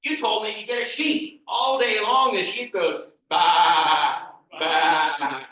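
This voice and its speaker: A man's voice: very high-pitched, animated, pitched above the performer's own voice. A man putting on a fed-up grump who hates noise. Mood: ranting. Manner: loud, drawn-out complaining. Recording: echoey room from far off.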